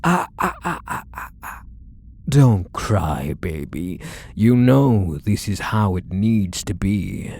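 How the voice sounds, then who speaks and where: Man acting a slow, coaxing possessive yandere lover. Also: lowish voice, big pitch swings, creaky, dry recording, exaggerated voice. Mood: tender, controlling. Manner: soft, coaxing, condescending pet-name endearments. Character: possessive, doting male yandere kidnapper, obsessively in love. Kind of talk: intimate ASMR roleplay audio drama.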